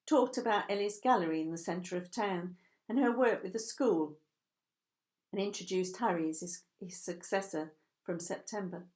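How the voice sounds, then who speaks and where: A woman narrating a romance novel from a script, as an author reading her own work. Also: on the low side.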